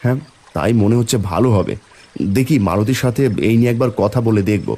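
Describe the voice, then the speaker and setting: A man's voice: mid-range voice, fast, warm tone, close to an everyday voice. A man playing an earnest, fretful, hardworking village father in a cartoon family drama. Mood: hopeful, determined.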